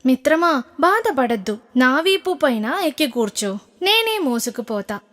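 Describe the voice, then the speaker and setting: A woman's voice: high-pitched, big pitch swings, unhurried, fairly bright tone, exaggerated voice. A woman voicing a kind, trusting camel who speaks in a caring, earnest way in a children's cartoon. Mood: concerned, caring. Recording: music behind the voice.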